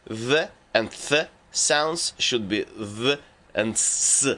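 A man narrating a scripted language lesson at a very unhurried pace. He sets out rules and lists one by one, measured and deliberate. His voice is bright and forward, with a medium pitch. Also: animated. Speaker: language teacher.

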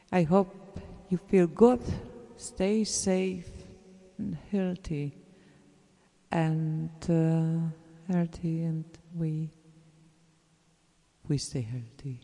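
Very slow, gravelly German-accented speaker. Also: mid-range voice, steady tone. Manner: halting, searching for words, frequent fillers; earnest and encouraging, drops to soft whispers at phrase ends. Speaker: voice artist sharing a message to fellow artists. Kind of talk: casual spoken message to listeners. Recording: roomy with long reverb.

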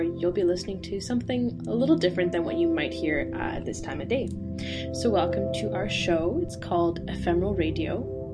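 Female student radio host, slightly low voice, loose delivery with ums, music bed behind. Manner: relaxed presenting with frequent fillers. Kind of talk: hosting a student radio special. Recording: guitar music playing underneath.

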